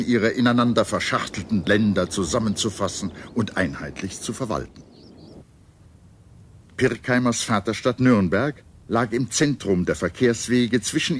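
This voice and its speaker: Low-voiced male documentary narrator. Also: slight background noise. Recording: music playing behind the voice. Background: background music.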